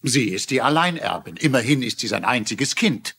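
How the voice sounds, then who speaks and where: An actor playing a reasonable, placating family lawyer in a German-dubbed TV crime drama. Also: male voice, medium pitch, lively intonation, moderate pace, creaky, fairly bright tone, breathy edge, exaggerated voice.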